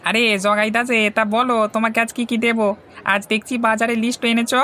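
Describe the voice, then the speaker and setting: A woman voicing a chatty, teasing male village grocer with a creaky voice in a cartoon. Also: on the high side, level tone, quick pace, some chatter behind, a little hiss or hum, lightly acted. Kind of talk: cartoon dialogue. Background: loud instrumental music.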